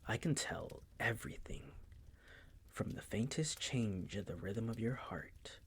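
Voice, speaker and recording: A suave vampire master, played by a man, speaks slowly in a hushed, breathy near-whisper. He is possessive and predatory, teasing with silky condescension in an intimate audio roleplay. The voice sits on the low side. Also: animated, full character voice. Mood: menacing, seductive.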